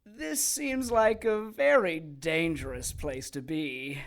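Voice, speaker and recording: A woman's voice: deep voice, slow pace. A woman playing a timid, hesitant sidekick in an audio drama. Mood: uneasy.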